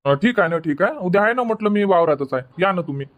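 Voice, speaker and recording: A man voicing rustic Varhadi Marathi farmer, high-pitched. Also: expressive, quick pace, a bit bright. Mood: agreeable. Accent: Varhadi Marathi, Vidarbha. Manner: colloquial, repetitive rustic chatter. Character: village orange farmers and traders, plain-spoken rustic men. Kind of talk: dubbed cartoon comedy dialogue. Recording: dull lo-fi audio.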